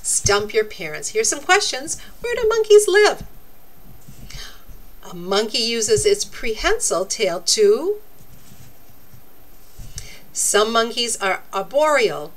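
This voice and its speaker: A woman reads a children's nonfiction book at an unhurried pace. Her fairly high voice swings widely in pitch, and she phrases things deliberately, like a teacher. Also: a little hiss or hum, poor audio. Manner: deliberate, expressive teacherly reading. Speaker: narrator reading a children's book aloud. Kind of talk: read-aloud children's nonfiction. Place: indoors, book laid open before a camera.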